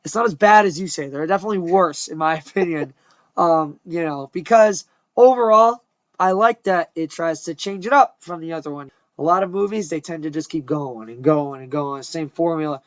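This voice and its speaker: A man in his twenties co-hosting a casual podcast from home, upbeat and jokey with loud bursts. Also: fairly high pitch, a little fast, dry recording. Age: 20s. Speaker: podcast co-host. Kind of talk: casual chat. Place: home room.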